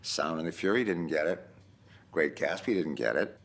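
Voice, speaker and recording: A man's voice: rumbling low, expressive, normal speed, gravelly. A man speaking as an author in a documentary interview. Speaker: prize-winning author interviewee.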